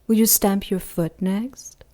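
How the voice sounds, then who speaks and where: A woman voicing an ancient, cruel female demon who taunts slowly and with menace in an audio drama. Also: mid-range voice, steady tone, mellow, close to an everyday voice. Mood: taunting. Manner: slow, mocking, menacing purr.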